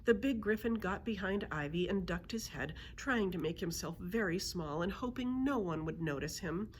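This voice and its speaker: Animated low-voiced woman reading a storybook. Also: normal speed, a little hiss or hum. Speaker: librarian reading aloud. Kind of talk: expressive children's storybook narration.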